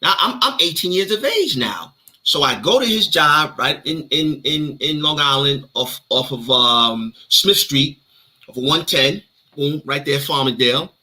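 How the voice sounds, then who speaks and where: A man's voice: fairly high pitch, measured pace, poor audio. A man in his thirties from New York with a New York accent, casually telling his life story in an interview with streetwise slang. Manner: streetwise slang, animated retelling.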